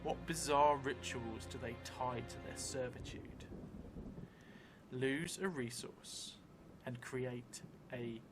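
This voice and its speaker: Middle-aged male solo game narrator, slow and reflective, piecing the story together aloud, music underneath. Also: mid-range voice. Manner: thoughtful, halting, thinking aloud in short phrases. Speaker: solo role-playing streamer. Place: indoor room set up for streaming, seated at a table.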